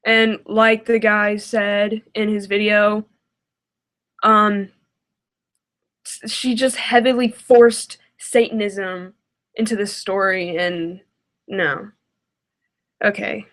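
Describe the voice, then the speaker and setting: A woman's voice: medium pitch, flat delivery, slow pace, pauses often, a bit bright. A woman giving casual reaction commentary in a hesitant, exasperated way. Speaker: reaction video host.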